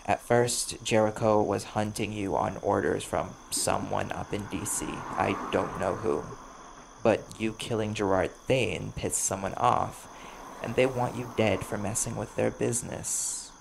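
A man voicing a wary, desperate vampire bounty hunter in an audio roleplay, blunt and guarded, with a gravelly voice. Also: lowish voice, a little slow. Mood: cautious, urgent. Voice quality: gravelly, creaky. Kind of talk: ASMR audio roleplay acting. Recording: clear background noise, chirping crickets.

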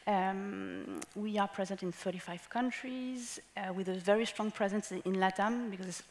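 A woman's voice: mid-range voice, animated, moderate pace, darkish tone. A woman in her thirties speaking as a panelist on a conference stage, hesitant and repeating words. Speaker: panelist, investor. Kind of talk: panel discussion. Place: large conference hall stage. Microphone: headset mic. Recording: hall with long reverb.

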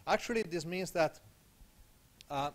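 A man's voice: on the high side, unhurried. A man in his thirties with an Italian accent, presenting at a conference on a handheld mic in a small room, casual and conversational, often checking in with "okay?". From Italy. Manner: conversational, tags points with "okay?". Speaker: conference speaker. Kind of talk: technical conference talk. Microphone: handheld microphone.

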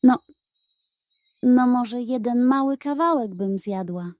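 Woman voicing a squeaky, anxious nanny goat. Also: animated, takes it slowly, darkish tone, dry recording, studio quality, faint music behind. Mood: hesitant. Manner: worried, protesting, hesitant. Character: anxious, hesitant female goat, tempted but dutiful.

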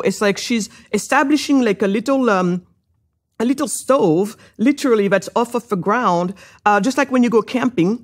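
A middle-aged woman from Senegal, an entrepreneur, telling her life story in an interview with lively, rising excitement and many fillers. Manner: animated, many fillers, rises high when excited. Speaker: guest entrepreneur.

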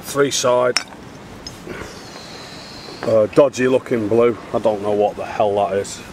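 A man's voice: fairly low pitch, steady tone, slow, darkish tone. A middle-aged man, chatting casually and bluntly as he shows finds on a handheld camera outdoors. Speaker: amateur bottle digger filming finds. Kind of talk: casual chat, showing finds. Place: outdoors in a wooded dig site. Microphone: handheld camera microphone. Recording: clear outdoor background noise on handheld camera.

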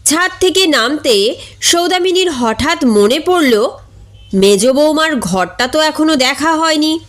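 A high-pitched woman narrates a comic short story. She takes her time, reading expressively as a storyteller. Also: unhurried. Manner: expressive, acts out characters' dialogue.

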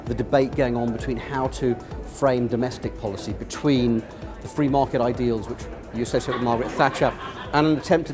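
A middle-aged man reporting on location at a conference venue, in a dry, measured analytical way. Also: medium pitch. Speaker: political commentator and reporter. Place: busy conference venue indoors.

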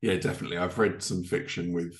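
Low-voiced man hosting casually. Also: little pitch movement, quick pace. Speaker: podcast host, interviewer. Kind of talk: casual interview chat. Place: living room, indoors. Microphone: video call.

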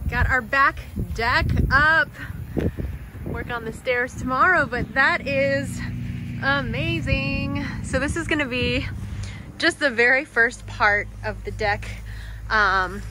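A middle-aged woman chatting casually and excitedly as she films herself outdoors at a house site with a handheld phone. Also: sits high, slow, background noise. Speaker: vlogger renovating a farmhouse. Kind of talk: casual walkthrough chat. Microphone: phone held at arm's length.

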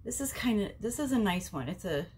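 A woman's voice: mid-range voice, sing-song, brisk, a little mellow, a little hiss or hum. A woman in her forties with a nasal, stuffy voice from a cold, chatting to her viewers about a face mask at home. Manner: chatty, talks to viewers as family, frequent ums. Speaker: beauty vlogger. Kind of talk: casual skincare review vlog. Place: small bedroom at home, indoors. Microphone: camera in front at desk distance.

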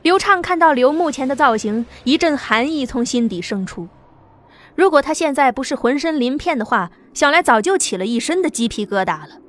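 A woman narrating an audio drama in a steady storytelling manner. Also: high voice, a little fast.

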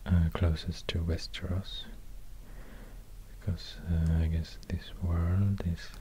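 Low whispery swedish guy doing asmr, super slow, noisy background. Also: little pitch movement. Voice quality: breathy, whispery. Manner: slow, hushed, with long pauses. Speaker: ASMR video creator. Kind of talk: soft-spoken ASMR, whispered narration while drawing. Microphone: close binaural microphone.

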